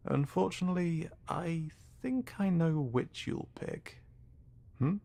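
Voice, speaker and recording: A man voicing a calm, coldly polite male scientist interrogator in a tabletop game, speaking softly with quiet menace. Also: fairly low pitch, gravelly. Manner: slow, soft-spoken, quietly menacing.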